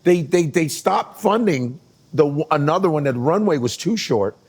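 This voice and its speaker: A man co-hosting a casual chat, chiming in with relaxed banter and telling his own stories. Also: on the high side, normal speed, husky.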